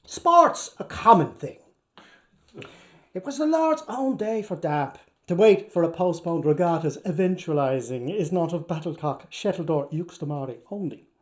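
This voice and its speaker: A man's voice: on the high side, a little mellow. A man with an Irish accent giving a theatrical, playful literary reading, declaiming and drawing out words for a live audience. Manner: declamatory, dramatic, playful delivery with drawn-out words. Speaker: actor reading aloud.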